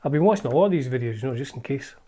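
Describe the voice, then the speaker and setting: A man's voice: mid-range voice, lively intonation, racing, warm tone, slightly rough. A middle-aged man with a Scottish accent, hosting and chatting casually as he flips through an old magazine, rambling with frequent ums and restarts. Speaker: host.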